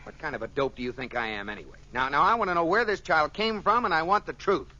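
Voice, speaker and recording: An actor playing an exasperated, flustered suburban husband in an old radio sitcom, stammering and sputtering indignantly. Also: male voice, on the high side, animated, fast talker, bright, forceful tone. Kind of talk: 1950s radio sitcom acting. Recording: noisy, dull old radio recording.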